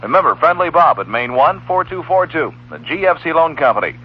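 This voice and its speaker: A man delivers a vintage 1960s radio commercial in a folksy salesman's style. His husky voice swings widely in pitch as he sells. The recording is muffled, like an old broadcast. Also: sing-song, a little fast. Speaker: commercial announcer. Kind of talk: 1960s radio advertisement read.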